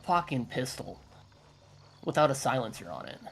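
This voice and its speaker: A man chatting with his teammates during a shooter game, excitable and swearing freely, speaking over a headset mic. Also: medium pitch, creaky, husky. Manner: excitable, profane, quick to exclaim. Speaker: gaming teammate. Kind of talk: casual gaming chat.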